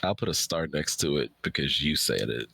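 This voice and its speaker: A low-pitched voice with a bright, forceful edge chats casually on a stream. The pitch moves around a lot, and the manner is informal and emphatic. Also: lively intonation, moderate pace, gravelly, slightly rough, dry recording. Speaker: streamer, host of a guest conversation. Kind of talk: casual chat.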